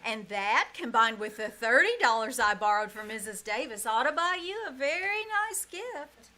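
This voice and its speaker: A woman playing a wry, quick-witted schoolteacher who delivers dry quips in old-time radio comedy style on a live stage. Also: on the high side, lively intonation, bright, forceful tone. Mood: wry, hopeful. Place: live stage in a small-town theater. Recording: faint noise, live small theater stage.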